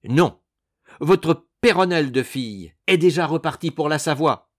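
A man's voice: mid-range voice, moderate pace, no echo, clean studio sound, natural voice. A man voicing a proud, vengeful financier with a curt, pompous manner in a storybook reading. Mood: scornful.

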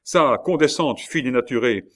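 A man's voice: mid-range voice, lively intonation, normal speed, fairly bright tone, pitched above the performer's own voice, natural voice. A man voicing an old jailer father in an audiobook, menacing, sarcastic and choked with anger. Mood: icy mocking triumph. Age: older.

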